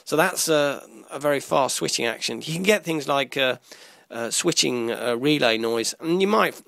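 A man with a creaky, gravelly voice talks through a technical lesson informally. His pitch sits in an ordinary male range and he often restarts and rephrases as he explains. The sound is dry, with very little room in it. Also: lively intonation, fairly bright tone, dry recording. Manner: halting, often repeats and rephrases mid-sentence. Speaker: electronics tutorial presenter.

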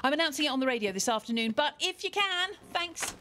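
A woman's voice: sits high, expressive, quick pace, pushed and bright, a little hiss or hum. An actress playing a chatty, persuasive village woman in a radio soap. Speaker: actor.

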